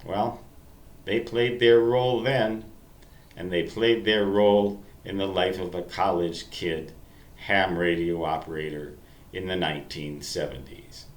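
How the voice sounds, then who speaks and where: Slow-talking older man, low voice. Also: steady tone. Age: 60s. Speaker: amateur radio hobbyist telling reminiscences. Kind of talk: rambling storytelling monologue to listeners. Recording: roomy, echoey sound.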